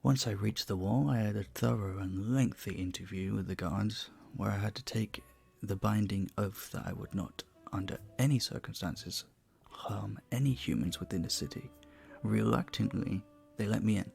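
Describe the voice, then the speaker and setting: A man playing an earnest, courteous, flirtatious vampire bartender in an intimate audio roleplay, speaking softly, politely and deliberately. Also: on the low side, vocal fry, faint music behind. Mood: reluctant, subdued.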